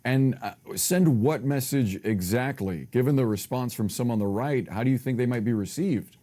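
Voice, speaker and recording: A man's voice: mid-range voice, normal speed, mellow. An American man presenting broadcast news as an anchor, reading scripted links and interviewing guests.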